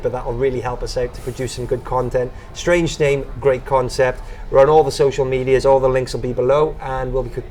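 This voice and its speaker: A man hosting a podcast, easygoing and quick to laugh, affirming his guest with repeated yeahs. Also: medium pitch, a little mellow, slight background noise. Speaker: podcast host. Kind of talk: casual interview chat.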